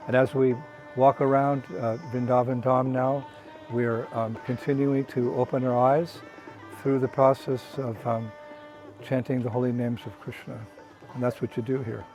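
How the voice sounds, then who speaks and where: An older man, a traveling monk, speaking in a soft, halting way with many pauses and restarts, outdoors on a walking pilgrimage. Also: medium pitch, level tone, soft background music, poor audio. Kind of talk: casual spoken reflection.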